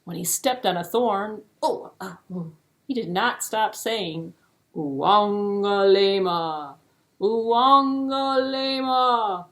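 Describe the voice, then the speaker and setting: A woman storyteller narrating a children's puppet show expressively, with dramatic pauses and playful delivery. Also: medium pitch.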